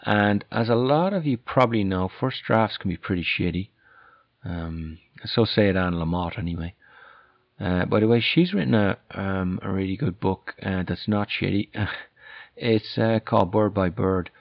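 Low, gravelly Irish man. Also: normal speed, no room sound. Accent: Irish, softened by years abroad. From Ireland. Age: middle-aged. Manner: hesitant, rambling, self-correcting. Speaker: podcast host and author. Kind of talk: casual solo podcast talk. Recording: dull, lo-fi, close dry sound.

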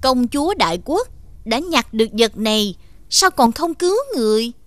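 High, lively, outspoken young court lady, a woman acting. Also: moderate pace, no room sound, close to an everyday voice. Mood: pointed. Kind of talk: Vietnamese animated fairy tale voice acting.

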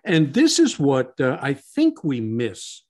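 A man's voice: medium pitch, wildly swooping pitch, slow, darkish tone. A man co-hosting a podcast discussion, emphatic and given to repeating key phrases. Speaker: podcast co-host.

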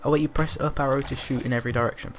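A man's voice: medium pitch, steady tone, racing. A man chatting casually as he plays a co-op video game. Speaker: gamer in a co-op let's play. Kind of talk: casual gameplay chat. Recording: game music and keyboard clatter behind. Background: game music, keyboard typing.

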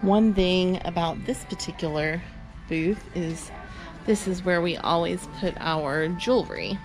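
A woman's voice: lowish voice, measured pace, faint music behind, rough recording. Chatty woman touring an antique mall. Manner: chatty and upbeat. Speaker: antique booth vendor. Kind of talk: walk-through tour vlog. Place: large indoor antique mall.

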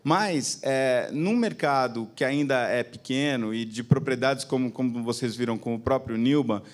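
A man's voice: medium pitch, normal speed. A man in his forties with a Brazilian Portuguese accent, a TV channel executive speaking to an audience on an auditorium stage with a handheld mic, chatty and peppering his talk with tag questions.

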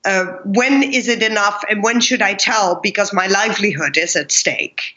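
A woman's voice: mid-range voice, moderate pace, pushed and bright, dull audio. A middle-aged woman, a guest telling her life story in an earnest, reflective way. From Pakistan. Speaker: guest, kindness speaker. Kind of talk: conversational interview.

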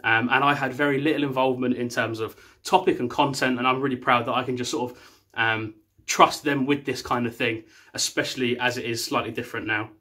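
A man's voice: medium pitch, fairly even intonation, a little fast, sharp tone. A young man, a youth worker, giving earnest closing remarks and a prayer from a small room at home, with fillers and restarts.

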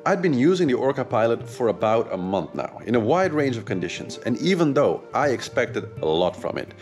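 A man's voice: medium pitch, big pitch swings, fairly quick. Enthusiastic man reviewing gear on a sailboat. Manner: enthusiastic, with frequent fillers. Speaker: sailing vlogger and charter captain. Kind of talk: casual product review. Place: on a sailboat, outdoors. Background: music.

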